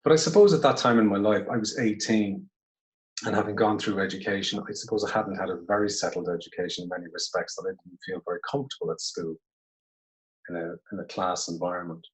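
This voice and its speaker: A man from Cork with a softened Irish accent, a guest actor in his forties reflecting in a relaxed, musing way during a casual interview. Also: low voice, fast talker, low-quality recording. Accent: Irish, softened by years in London. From Ireland, Cork. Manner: reflective, easygoing, many "I suppose" asides. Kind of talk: casual interview over video call.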